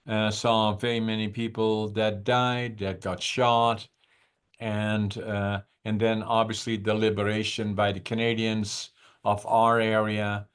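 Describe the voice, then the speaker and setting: Unhurried man in his eighties, low voice. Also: level tone, fairly bright tone, clear, resonant tone. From Netherlands. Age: 80s. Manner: unhurried, reflective, with frequent "uh" pauses. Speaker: podcast guest. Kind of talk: conversational storytelling.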